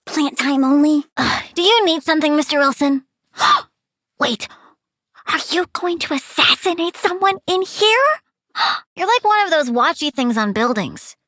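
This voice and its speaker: A woman's voice: high-pitched, lively intonation, unhurried, a bit bright, dry recording, studio quality. A woman voicing a childlike young plant-controlling villain girl in a video game, perky, precocious and sassy, eager and cheerfully menacing. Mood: sassy, impatient. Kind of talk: video game character voice lines.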